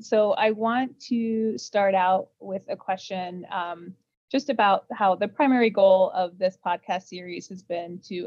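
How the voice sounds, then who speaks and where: A woman's voice: medium pitch, darkish tone, no echo. Woman hosting a podcast, dull dry audio. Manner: reads polished intros, then moderates with fillers. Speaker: podcast host.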